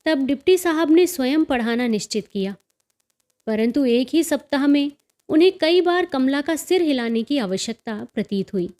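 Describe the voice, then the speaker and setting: Woman, high pitch, storyteller, scripted narration, audiobook-style reading. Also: animated, moderate pace, darkish tone. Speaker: storyteller and channel host.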